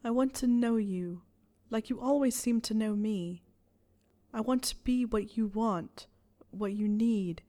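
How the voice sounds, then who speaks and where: A woman voicing a shy, yearning young male wizard in an audiobook, breathy and hesitant in manner. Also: on the high side, unhurried, dark tone, lightly acted.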